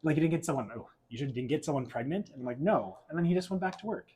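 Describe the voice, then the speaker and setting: A man's voice: on the high side, animated, quick pace, a little mellow, slightly rough. A young adult man from Portugal, raised in western Canada, candidly telling his life story as a guest in a casual chat and laughing often. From Portugal, raised in Alberta, Canada.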